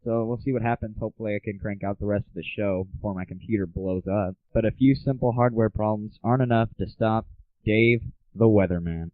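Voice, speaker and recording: Guy hosting a homemade show, lowish voice, casual and self-deprecating, thin phone-like mic sound. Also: a little fast, no echo. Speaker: show host. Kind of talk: casual solo comedy show monologue. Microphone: cheap malfunctioning home microphone. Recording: tinny lo-fi home recording, faulty microphone.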